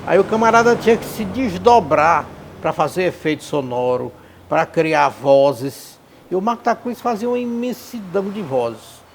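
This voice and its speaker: A man's voice: high voice, big pitch swings, moderate pace, a little hiss or hum. A man giving spontaneous interview testimony, emphatically repeating key words.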